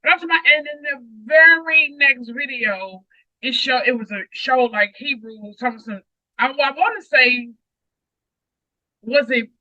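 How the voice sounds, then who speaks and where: Bright-voiced woman, muffled close mic. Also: high voice, moderate pace, no echo. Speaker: co-host of a Bible read-along. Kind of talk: casual group chat and scripture read-aloud. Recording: muffled, dull home stream mic.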